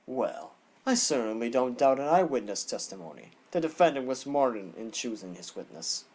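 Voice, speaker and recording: A man's voice: medium pitch, very animated, moderate pace, a little mellow. A man voicing a stern, condescending animal prosecutor with a formal, haughty manner in an audio drama. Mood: smug.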